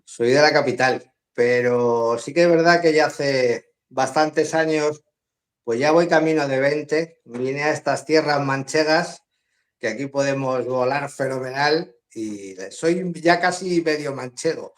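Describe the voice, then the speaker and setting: A man's voice: medium pitch, a little slow. A middle-aged man from Madrid with a Spanish accent, chatting casually as a guest on a live video call and laughing often. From Madrid, Spain. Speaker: guest drone hobbyist. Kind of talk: casual live-stream interview.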